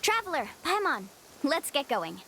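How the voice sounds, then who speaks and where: High-voiced confident young woman guide. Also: sing-song, moderate pace, sharp tone, slight background noise, full character voice. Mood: earnest, reassuring. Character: confident young female tour guide of a seaside tribe. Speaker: game character, guide. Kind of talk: video game voice acting.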